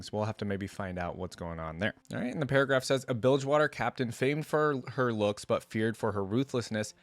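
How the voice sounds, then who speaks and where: A man's voice: lowish voice, no room sound. A man hosting a reaction video in a casual, informal way, moving between reading aloud and musing on what he reads. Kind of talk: casual reaction commentary mixed with read-aloud story text.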